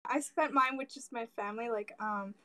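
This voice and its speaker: A woman's voice: on the high side, normal speed, sharp tone. A woman from Canada chatting casually as a podcast guest, with light laughing asides. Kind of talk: casual chat.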